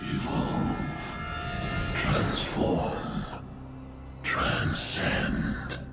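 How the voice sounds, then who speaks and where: An actor playing an ancient, colossal alien creature in a video game, a solemn and ominous sage with a gravelly growl. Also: male voice. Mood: solemn, commanding. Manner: slow, grave, portentous pronouncements. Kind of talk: video game cutscene acting. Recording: music behind the voice. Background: loud game score.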